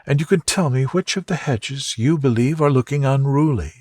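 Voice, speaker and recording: A man voicing a devoted, tender gentleman in love, murmuring softly for a romantic bedtime story. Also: moderate pace, dry recording, natural voice. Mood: fond. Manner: low, soft murmur.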